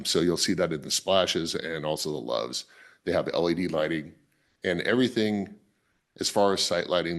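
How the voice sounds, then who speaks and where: A man with a raspy, worn voice, presenting his project at a public planning meeting and restarting his sentences often. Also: a bass voice, fairly bright tone. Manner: self-correcting, restarts often. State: raspy voice from a weekend tournament. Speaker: applicant presenting a site plan.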